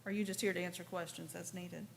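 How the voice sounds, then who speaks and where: A woman's voice: on the low side, fairly even intonation, fast. A woman chairing a public commission meeting, switching between formal procedure and plain conversational speech. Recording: clear background noise, room ambience.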